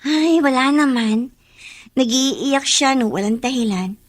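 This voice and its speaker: An affectionate young schoolgirl in a radio drama. Her voice is high and recorded close and dry. She talks earnestly, like a reformed snob trying to be sincere. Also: a little slow, dry recording. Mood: earnest, confiding.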